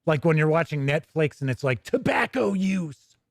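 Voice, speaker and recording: Casting: American man, middle-aged gaming show host, slightly high voice, chatty livestream delivery, close and dry sound. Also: very animated, moderate pace, gravelly, no echo. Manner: chatty, conversational, with playful asides. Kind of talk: casual livestream commentary.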